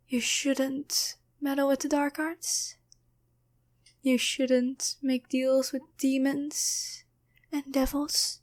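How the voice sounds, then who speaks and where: Woman playing a slow, high-voiced taunting demoness. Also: a little mellow. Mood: smug, menacing. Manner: sly, teasing, deliberate, intimate. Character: taunting female demon possessing and impersonating someone's girlfriend. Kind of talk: ASMR roleplay, addressed to the listener.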